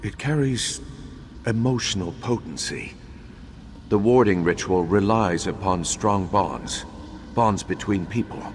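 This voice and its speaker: An actor playing a grave, remorseful undead imperial battle mage in a video game, speaking in a formal, measured, solemn way. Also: male voice, low-pitched. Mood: regretful. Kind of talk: dramatic video game dialogue. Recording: long hollow reverb, faint background noise.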